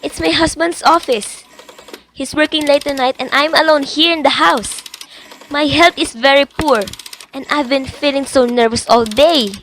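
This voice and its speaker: A woman's voice: high-pitched, normal speed, fairly bright tone, slight background noise. A young woman playing an agitated, insistent invalid wife in a theatrical student radio drama. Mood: nervous, fretful. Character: anxious, imperious invalid wife alone at night.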